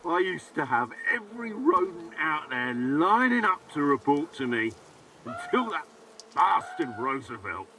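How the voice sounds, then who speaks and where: An unhinged game colonel with a high, drawling voice. He barks and taunts with theatrical swagger, heard at some distance from the mic. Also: big pitch swings, unhurried, pushed and bright, a little hiss or hum, full character voice. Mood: mocking, bitter. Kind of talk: video game character acting.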